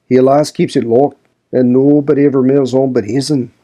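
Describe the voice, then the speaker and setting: A man's voice: medium pitch, fairly even intonation, moderate pace, mellow, voice pushed up, lightly acted. A man voicing a grumbling, pious old manservant who scolds in a broad rustic dialect. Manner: scolding, preachy.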